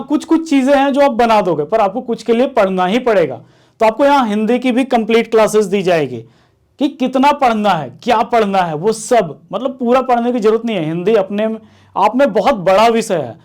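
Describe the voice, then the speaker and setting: A man's voice: high-pitched, darkish tone. A man teaching exam students in an informal, conversational way, repeating phrases for emphasis and often checking in. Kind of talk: informal explanatory lecture to students.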